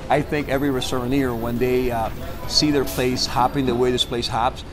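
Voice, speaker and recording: A man's voice: medium pitch, flat delivery, normal speed, poor audio. A man from Cuba with a Cuban Spanish accent, a restaurant owner talking proudly and unhurriedly about his place, with fillers. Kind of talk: interview. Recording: loud salsa music behind. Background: loud salsa music, traffic.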